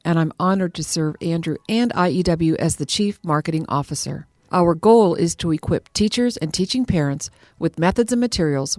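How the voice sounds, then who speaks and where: A woman hosting a podcast, presenting in a polished, clearly phrased way. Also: fairly low pitch, a little mellow, no echo, studio quality. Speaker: podcast host. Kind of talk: hosting, presenting listener questions.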